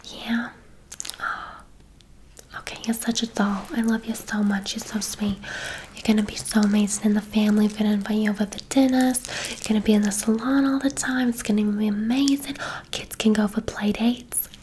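A woman's voice: mid-range voice, steady tone, moderate pace, a little mellow, slight background noise, natural voice, low-quality recording. A woman playing a gushing, affectionate, gossipy hairdresser in an ASMR roleplay, chatty and full of endearments. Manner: effusive, chatty, uses endearments.

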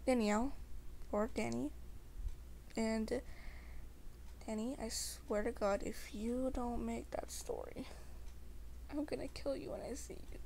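A woman's voice: on the high side, creaky, darkish tone, a little hiss or hum. A young woman chatting casually to her viewers in a slangy, rambling way. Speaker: amateur ASMR creator.

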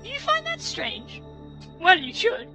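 A man reading game dialogue in a high, sing-song falsetto as an earnest, cheerful female knight who worships the sun, speaking grandly and confidently. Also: big pitch swings, measured pace, bright, forceful tone, soft background music, full character voice, low-quality recording. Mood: cheery, grand. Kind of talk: reading a game's dialogue aloud. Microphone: headset.

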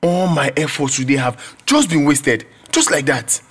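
Guy acting awkward young nigerian student, lightish higher voice, halting and whiny, bit of noise. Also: expressive, a bit bright. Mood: bitter. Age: young adult. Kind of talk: audio drama acting.

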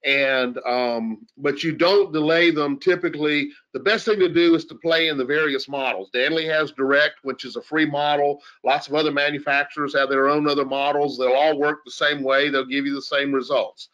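A man's voice: fairly high pitch, steady tone, moderate pace, few pauses, a bit bright, lo-fi sound. A man giving an informal technical explanation as an expert, in a conversational way with rhetorical questions. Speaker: audio engineer guest expert.

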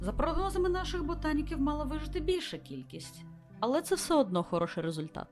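A woman playing a composed space station commander in a visual novel game, logging mission reports in a formal, measured way. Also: sits high, animated. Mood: composed, reporting. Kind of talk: scripted visual novel voice acting, log narration. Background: music.

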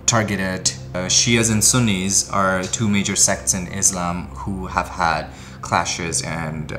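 Low-voiced Pakistani man, hesitant delivery. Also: a bit bright, slight background noise. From Quetta, Pakistan. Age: 30s. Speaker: dancer, documentary subject. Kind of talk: personal interview testimony. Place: living room, indoors.

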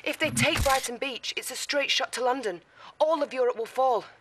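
A woman's voice: high-pitched, normal speed, noisy background, close to an everyday voice. An actress in her twenties playing an earnest, formal military intelligence lieutenant briefing a player in a game. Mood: earnest, urgent. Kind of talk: acted game mission briefing.

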